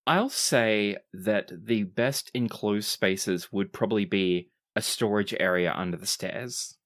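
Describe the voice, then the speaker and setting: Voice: low-pitched, slow, fairly bright tone. A game master and host narrating an improvised tabletop game in a conversational, descriptive way.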